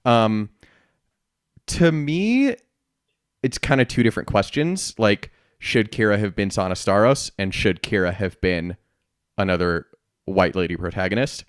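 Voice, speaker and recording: A man's voice: lowish voice, expressive, measured pace, clean tone, dry recording, studio quality. A man co-hosting a podcast, talking informally and emphatically in a casual, opinionated fan discussion. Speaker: podcast co-host.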